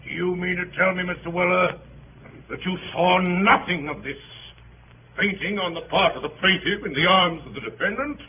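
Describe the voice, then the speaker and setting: A thin, tinny vintage radio recording with some hiss carries a man acting a courtroom barrister. He is high-pitched, pompous and theatrical, hectoring with heavy sarcasm. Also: normal speed, close to an everyday voice. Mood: bullying, sarcastic. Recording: tinny, noisy 1930s radio broadcast.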